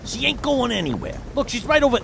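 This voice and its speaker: High, creaky, panicky goon played by a man. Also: very animated, fast, background noise, full character voice. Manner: slangy, panicky. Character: uneasy cartoonish gangster goon. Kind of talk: superhero audio drama acting.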